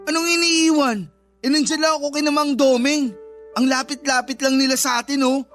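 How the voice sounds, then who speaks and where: An actor playing a proud, insecure working-class young husband who argues defensively in a radio drama. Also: male voice, very high voice, little pitch movement, a little fast, a bit bright. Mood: breezy, defensive. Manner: dismissive, defensive, argumentative. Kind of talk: Tagalog radio drama acting. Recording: music bed behind the voice.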